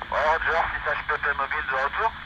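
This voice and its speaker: A man's voice: on the high side, steady tone, brisk. An older man chatting casually over amateur radio, giving reflective, opinionated views through a two-way FM link. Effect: two-way FM radio transmission. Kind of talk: casual ham radio conversation. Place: in a vehicle, mobile station. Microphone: radio transceiver microphone. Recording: muffled, very noisy FM radio link.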